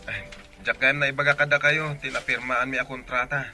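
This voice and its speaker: Bright, forceful young Ilocano man. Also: mid-range voice, little pitch movement, fairly quick, soft background music. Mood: fond, reassuring. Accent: Filipino, Ilocano. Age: young adult. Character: devoted young man working away, attentive sweetheart and son. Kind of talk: radio drama acting.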